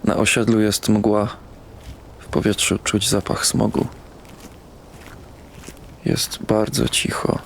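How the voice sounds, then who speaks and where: A young man playing a troubled, numb young narrator in an audio drama, speaking in short, subdued, halting first-person sentences. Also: on the low side, vocal fry, slight background noise. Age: young adult.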